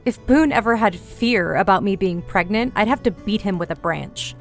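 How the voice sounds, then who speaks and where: A woman's voice: medium pitch, normal speed, soft background music, natural voice. A woman playing a blunt, amused character in a fantasy audio drama.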